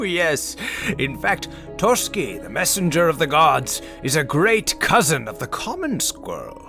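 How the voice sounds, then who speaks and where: A man playing a wise, unhurried old Viking explorer in an audio story, speaking in measured, formal storyteller phrases. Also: a little high, creaky, pushed and bright, heavily acted. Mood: fond, amused. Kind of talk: audio story character acting. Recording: music behind the voice.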